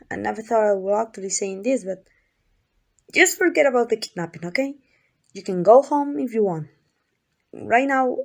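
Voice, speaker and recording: A woman's voice: on the high side, creaky, a little mellow. A woman playing an obsessive, doting yandere kidnapper in an ASMR roleplay, gushing and coaxing with affection. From Mexico.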